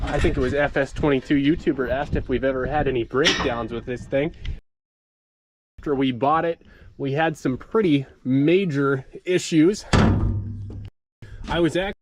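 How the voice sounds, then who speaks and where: A man's voice: medium pitch, noisy background. A man from Montana with a stuffed-up nose from a cold, casually walking viewers around a combine on a live stream. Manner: relaxed rambling with fillers, greets chat viewers. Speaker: farmer livestreaming.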